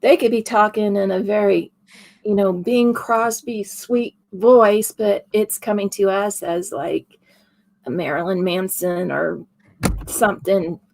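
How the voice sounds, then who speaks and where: Raspy, low-pitched woman. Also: steady tone, measured pace, darkish tone, poor audio. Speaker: paranormal investigator co-host. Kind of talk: casual livestream chat.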